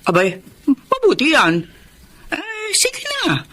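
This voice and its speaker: A protective older grandmother, played by a woman in a radio drama, her voice lively and swinging widely in pitch. She scolds and comforts by turns, with a faint noise behind. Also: slow pace, heavily acted. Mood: indignant. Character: concerned grandmother, the wife's mother-in-law.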